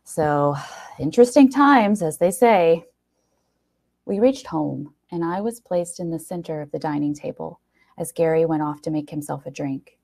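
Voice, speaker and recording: A woman reading a short story aloud in an expressive, wry first-person narration with dramatic pauses. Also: low-pitched, creaky.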